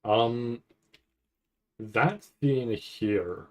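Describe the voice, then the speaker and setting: A man giving casual, off-the-cuff commentary on a video, thinking aloud in a halting way and often repeating himself. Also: mid-range voice. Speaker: anime reaction streamer.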